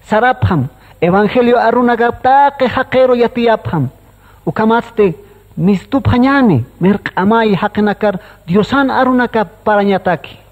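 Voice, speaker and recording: An earnest evangelical pastor from a village radio drama. His voice sits high and, with a declamatory, exhorting delivery. It rings through long hall reverb on a slightly muffled recording. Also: unhurried. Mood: fervent exhortation.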